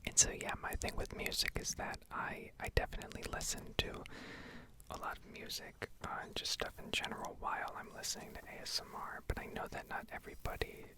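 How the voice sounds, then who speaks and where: A woman whispering and speaking very softly in a hesitant, unhurried ASMR ramble, recorded at home on a desktop microphone. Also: poor audio. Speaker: streamer making ASMR gaming videos. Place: indoor home setup. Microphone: Blue Yeti desktop microphone.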